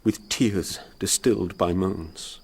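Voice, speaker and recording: An actor with a British accent playing a proud, mournful young noble suitor in a Shakespearean radio drama, in formal, declamatory verse. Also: male voice, low-pitched, takes it slowly, gravelly, breathy edge, exaggerated voice. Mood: mournful, tender.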